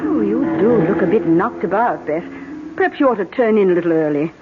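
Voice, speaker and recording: A woman's voice: medium pitch, animated, fairly quick, over music, natural voice. An actress playing a solicitous, coaxing, motherly English nurse with a British accent in a radio drama. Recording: tinny old radio broadcast, faint noise.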